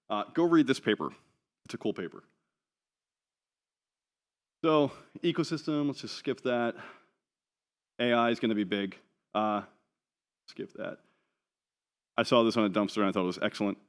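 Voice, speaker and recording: Guy w/ a lowish voice doing a laid-back conference talk, jokey asides, lots of ums. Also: moderate pace, pauses often. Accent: none New Zealand. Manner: casual, chatty, wry asides with many ums. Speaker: conference speaker. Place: conference hall.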